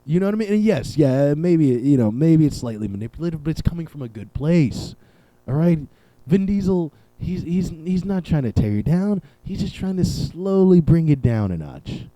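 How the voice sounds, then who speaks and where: Sarcastic young man with a dark, mellow voice. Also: a little high, big pitch swings, normal speed, dry recording, rough recording. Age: young adult. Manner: sarcastic, rambling, profane riffing. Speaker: podcast host. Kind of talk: solo comedic podcast rant. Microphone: camera and podcast mic.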